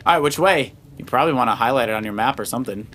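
A man's voice: mid-range voice, expressive, racing, fairly bright tone, a little hiss or hum. A man, probably middle-aged, giving casual gameplay commentary and explaining moves like a coach. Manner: advising, explanatory. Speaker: father co-hosting a children's let's play. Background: video game music.

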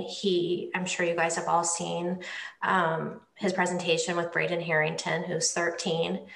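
A middle-aged woman moderating a panel over a video call from home, with a gentle manner and frequent repeated words. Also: lowish voice, gravelly. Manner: gentle, repeats words, asks follow-up questions. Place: room at home, indoors. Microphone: laptop webcam on video call. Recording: echoey room sound.

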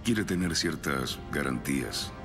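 A man playing a menacing henchman in an animated series. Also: extremely low pitch, measured pace, exaggerated voice. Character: menacing adult male henchman reporting to boss. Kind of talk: animated series dub acting. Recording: music behind the voice.

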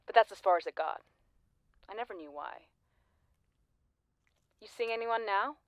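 An actress playing a lonely, persistent former classmate, probing and wryly blunt, in a naturalistic audio drama. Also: female voice, mid-range voice, moderate pace, dry recording, natural voice.

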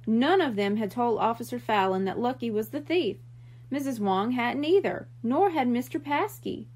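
A woman reading a children's mystery book aloud in expressive storytelling narration. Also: fairly high pitch, normal speed.

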